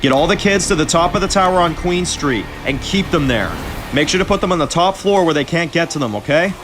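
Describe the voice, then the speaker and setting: A man's voice: a little high, lively intonation, fairly quick, music in the background, voice pushed up, lightly acted. A man voicing a frantic male survivor who curses while fleeing a zombie herd. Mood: urgent. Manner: frantic, swearing. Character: panicked adult male truck driver.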